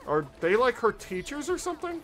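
A man's voice: very high voice, big pitch swings, a little fast, a bit bright, faint music behind. A man hosting a reaction stream, giving casual, exclamatory commentary as he watches.

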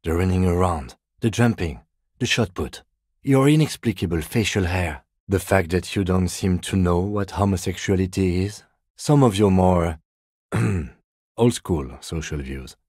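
Video game police lieutenant, very low pitch, husky, composed, formal, precise, dry and understated. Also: male voice, unhurried, darkish tone, dry recording. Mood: pointed, incredulous. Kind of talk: scripted game dialogue.

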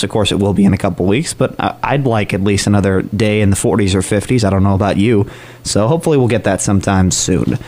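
A man hosting a college radio morning show in upbeat, chatty DJ patter with emphatic openings. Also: lowish voice.

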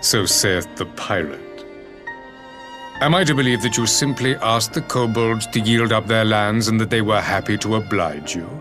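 A man playing a stern, proud emperor in a game cutscene, speaking in haughty, measured, scornful oratory. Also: mid-range voice, natural voice. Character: stern, imperious armored emperor, proud and contemptuous. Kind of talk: formal dramatic game cutscene acting.

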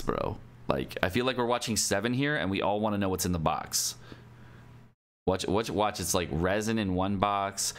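Young male streamer, lower register, informal and sweary, casual commentary while reading aloud. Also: a bit bright. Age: young adult. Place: indoor room at a computer, livestream.

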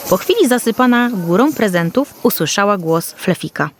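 A woman's voice: medium pitch, expressive, moderate pace. A woman storyteller reading a scripted Christmas fairy tale for children.

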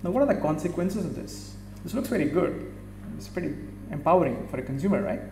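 Middle-aged man giving a keynote at a podium, higher-pitched voice, long hall reverb. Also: expressive, brisk, mellow. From India. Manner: addresses audience directly, asks questions, corrects himself. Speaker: keynote speaker. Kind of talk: conference keynote talk. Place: large auditorium stage. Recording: echoey hall, faint hum.

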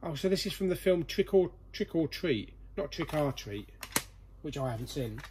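A man's voice: on the high side, animated, moderate pace, a little mellow, husky. A man hosting a casual vlog in a jokey, chatty way as he sorts through a collection. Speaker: vlog host. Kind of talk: casual chat while going through a collection. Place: indoor storeroom full of boxes.

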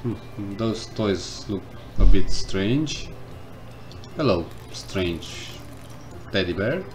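A man in a home setup talks through a game at an unhurried pace. He reads the on-screen text aloud and wonders out loud about what to do next. Game music plays clearly behind his slightly low voice. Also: expressive. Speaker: gameplay streamer. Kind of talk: casual let's-play commentary. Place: indoors at a computer.

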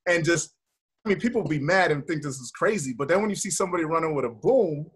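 An American man in his thirties chatting casually on a video call, telling stories in a slangy, rambling, animated way. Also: a little high, fast, husky. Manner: animated, slangy, rambling with frequent "you know" and "like". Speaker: actor being interviewed. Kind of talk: casual chat, storytelling. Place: indoor room, video call.

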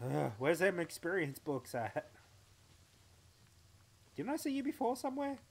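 A man's voice: high voice, very animated, a little slow, gravelly, breathy edge. Chatty Australian guy streaming a game. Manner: chatty, playful, talks to himself and the game. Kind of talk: live gameplay commentary.

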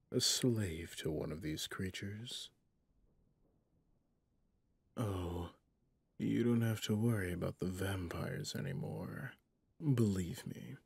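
Man acting a very husky, low vampire hunter. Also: no echo, full character voice. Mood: stern, commanding. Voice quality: husky, breathy, gravelly, often dropping to a whisper. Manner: slow, commanding, interrogating. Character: stern centuries-old male vampire hunter, menacing and brooding. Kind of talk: ASMR audio roleplay.

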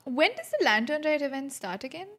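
A woman's voice: high-pitched, animated, brisk, a bit bright, a little hiss or hum. A woman in her twenties giving casual, playful livestream reactions to a game and chatting to viewers. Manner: playful, reactive asides to chat. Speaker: game streamer. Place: small indoor streaming room. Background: game music.

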